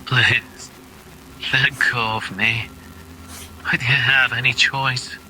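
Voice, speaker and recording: A man's voice: medium pitch, fairly even intonation, slow, sharp tone, a little hiss or hum, poor audio. A man playing a terrified victim begging for mercy in an audio drama, pleading, stammering and whimpering.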